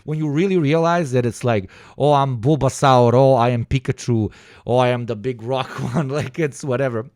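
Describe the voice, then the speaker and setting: A man with an Eastern European accent, reacting to a video in casual, profane, rambling commentary with sudden animated bursts. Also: medium pitch, normal speed, dry recording, clean studio sound.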